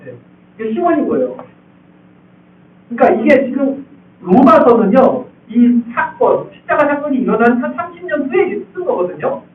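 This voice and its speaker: High-voiced male pastor in an echoey church. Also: very animated, moderate pace. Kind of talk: expository sermon. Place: church sanctuary. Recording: echoey hall, distant tinny sound.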